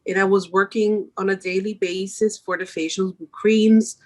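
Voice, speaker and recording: A woman's voice: medium pitch, fairly even intonation, normal speed, darkish tone. Woman being interviewed. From Switzerland. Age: middle-aged. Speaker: podcast guest, makeup artist. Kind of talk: conversational interview answers.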